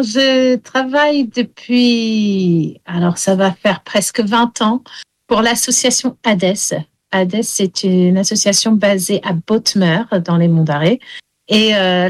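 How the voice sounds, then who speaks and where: Woman storyteller, English first language, halting and hesitant delivery, dry close sound. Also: medium pitch, dry recording. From Wales. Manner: hesitant, many fillers. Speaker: storyteller and singer. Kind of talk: interview.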